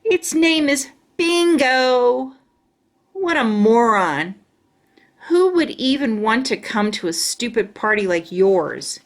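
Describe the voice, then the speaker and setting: Woman doing a loud, bragging schoolboy bully. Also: on the high side, big pitch swings, takes it slowly, full character voice. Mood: jeering. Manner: loud, taunting. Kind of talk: children's storybook read-aloud.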